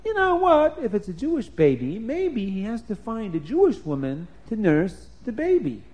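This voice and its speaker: A man voicing a clever, eager little girl who speaks up with a bright idea. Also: high-pitched, wildly swooping pitch, normal speed, lo-fi sound, voice pushed up.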